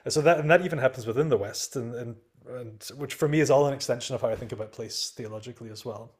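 A man's voice: medium pitch, quick pace. A man speaking as a podcast guest, thoughtful and hesitant, with many restarts and fillers. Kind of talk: reflective podcast discussion.

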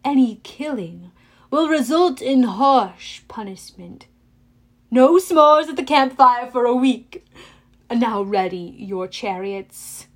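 A woman's voice: on the high side, lively intonation, takes it slowly, heavily acted. A woman voicing a smug, cruel older man running a camp race, drawling and mock-pleasant. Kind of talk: audiobook character voice.

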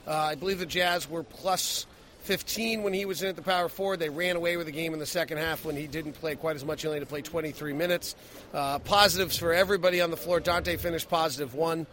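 Male sports radio host, high-pitched and forceful, emphatic post-game analysis, faint background noise. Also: fairly even intonation, fast talker.

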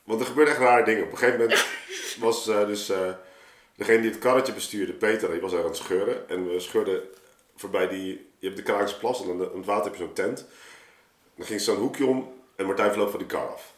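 Guy w lowish voice telling stories, informal n animated, echoey room. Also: a little fast. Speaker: podcast guest, artist telling of a project. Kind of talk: casual anecdotal chat. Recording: roomy, echoing room sound.

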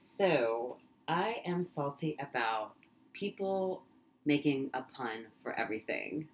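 A woman's voice: rumbling low, expressive, slow pace, low-quality recording. A young woman from California co-hosting a casual chat podcast, blunt and swearing as she rants. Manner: blunt, swears when ranting. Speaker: podcast co-host.

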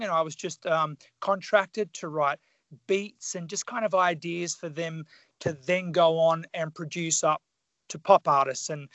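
A man in his forties with an Australian accent, a guest on a radio interview, telling his story in a chatty, animated way full of fillers. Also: sits high, no room sound, lo-fi sound. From Tasmania, Australia. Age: 40s. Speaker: guest musician.

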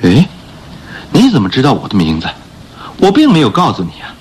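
A man acting in a radio drama as a suave, mocking gentleman thief, with a theatrical, scoffing, questioning delivery. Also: medium pitch, wildly swooping pitch, brisk, slight background noise. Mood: astonished. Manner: theatrical, scoffing, interrogating with exclamations.

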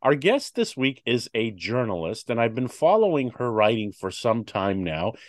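A man's voice: mid-range voice, sing-song, moderate pace, dry recording, studio quality. A man hosting a public affairs talk show, chatty with many fillers and restarts. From United States. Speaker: host.

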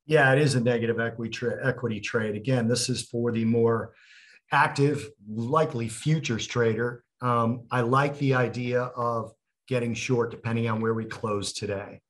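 A man's voice: mid-range voice, fairly even intonation, moderate pace. A man co-hosting a markets show, explaining trading ideas conversationally, step by step, with firm opinions. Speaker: co-host, futures trader.

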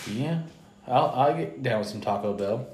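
A man's voice: medium pitch, animated, normal speed, darkish tone, slight background noise. A man in loose, joking conversation, dropping dry one-liners and acting out the people in his stories. The sound bounces around an echoey room. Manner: dry wisecracks, anecdotes with quoted dialogue. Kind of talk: casual crude chat.

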